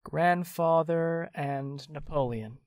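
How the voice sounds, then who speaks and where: A woman's voice: low-pitched, slow pace, a little mellow, no room sound. A woman voicing a cheerful, teasing young man in an audio drama, breezy and friendly, with a dry close sound.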